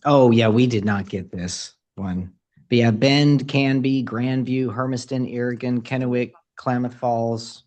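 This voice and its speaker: A council member leading the discussion, confirming points in brisk, quick replies. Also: medium pitch, fairly even intonation, a little mellow, dull audio.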